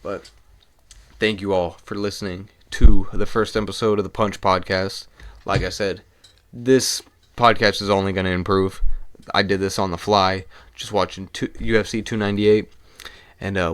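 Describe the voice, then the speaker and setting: A young man with a low, hoarse voice, recorded dry and close. He talks casually, with swearing, in quick bursts, his throat worn out. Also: steady tone, no echo. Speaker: podcast host. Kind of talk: casual solo podcast breakdown.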